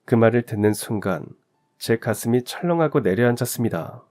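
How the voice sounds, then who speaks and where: A man's voice: mid-range voice, animated, fairly quick, darkish tone, faint music behind. A man reading a first-person story aloud in an earnest, confiding storytelling manner. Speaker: story narrator. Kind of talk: scripted first-person narration.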